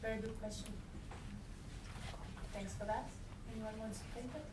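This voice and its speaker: A woman's voice: a little high, steady tone, moderate pace. A woman moderating a panel discussion in a casual, chatty way. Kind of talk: hosting a panel Q&A. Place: event room. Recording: echoey room recording from a distance with background noise.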